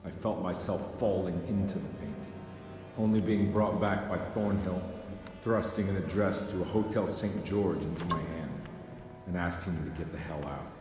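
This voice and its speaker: A low-pitched, world-weary detective played by a male actor in a noir video game, brooding and heavy with guilt. He speaks haltingly, with music behind his deep voice. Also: fairly even intonation, natural voice. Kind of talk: noir narration and dramatic game dialogue.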